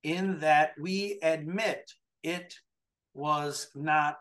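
A man's voice: on the high side, steady tone, extremely slow, fairly bright tone. A man in his forties coaching over a video call from a home office, emphatic, and he keeps checking in with "right?". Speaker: trucking compliance coach. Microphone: webcam video call.

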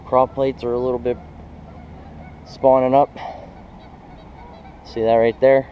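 A man's voice: mid-range voice, level tone, slow pace, dull audio, a little hiss or hum. A young American man chatting casually to viewers as a fishing vlogger, informal and recorded outdoors on an action camera. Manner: informal, addresses viewers as guys. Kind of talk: casual vlog chat.

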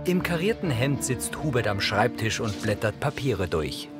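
A man's voice: mid-range voice, very animated, normal speed, over loud music. A man reading scripted audio description narration in a neutral, precise manner.

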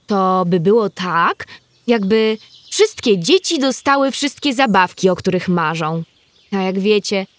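Slow-paced woman storyteller. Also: medium pitch, dry recording. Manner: explanatory, addressing young listeners. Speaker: narrator. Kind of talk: scripted storytelling for children.

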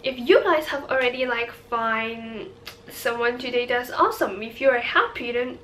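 A woman's voice: on the high side, measured pace, a bit bright, slight background noise. An eighteen-year-old woman with a Hong Kong accent, a native Cantonese speaker, chatting casually and a little hesitantly to camera as a vlogger. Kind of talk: casual chit-chat to camera.

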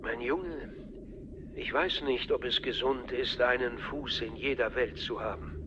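A man plays a fatherly, subtly manipulative older mentor in a radio drama. He speaks with measured patience at a middling pitch, in a dull sound over noticeable noise. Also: close to an everyday voice. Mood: probing, fatherly. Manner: measured, paternal. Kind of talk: radio play acting. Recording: dull sound, clearly noisy ambience.

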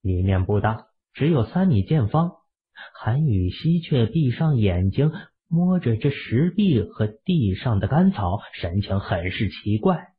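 A man with a raspy voice narrating an audio drama in a steady storytelling manner. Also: on the low side, narrow, tinny audio.

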